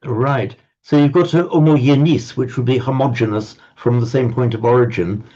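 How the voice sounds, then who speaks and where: A man's voice: mid-range voice, fairly even intonation, normal speed, dark tone, dull audio. An older man teaching a language class, playfully pedantic and prone to stumbling repetitions.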